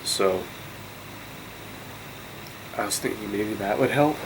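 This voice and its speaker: A man's voice: lowish voice, slow, noisy background. A congested young man vlogging, rambling and incredulous, with many fillers and restarts. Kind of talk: casual rant to camera.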